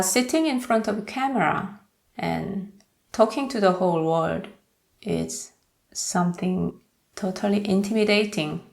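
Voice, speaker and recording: A woman's voice: fairly low pitch, gravelly. A young woman with a Korean accent, talking to camera in a personal vlog with careful, hesitant delivery. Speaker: YouTuber.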